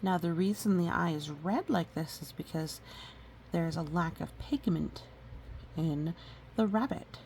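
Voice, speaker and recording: A woman reading a storybook aloud gently and adding educational asides. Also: lowish voice, a little slow. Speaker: storybook reader. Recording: faint background noise.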